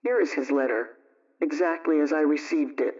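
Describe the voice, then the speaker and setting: A woman playing a chatty, gently wry homemaker host in a measured, genteel 1920s radio talk. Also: low-pitched, close to an everyday voice. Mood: gently amused. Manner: measured, genteel, addressing listeners directly. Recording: dull, lo-fi old radio recording.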